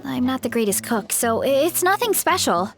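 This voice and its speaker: A woman's voice: sits high, sing-song, moderate pace, soft background music. A woman playing a cheerful, modest young woman in a video game, friendly and encouraging. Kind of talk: English video game voice acting.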